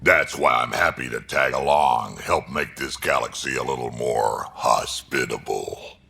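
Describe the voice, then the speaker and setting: A gruff, elderly alien veteran for a video game. The voice is extremely deep and full of gravel, with a hard, forceful edge. He talks bluntly and wryly, over music. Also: unhurried, full character voice. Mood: resolute. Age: older. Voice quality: very gravelly, rumbling. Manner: blunt, wry. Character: gruff old alien grandfather, scrappy colony veteran. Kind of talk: video game dialogue acting.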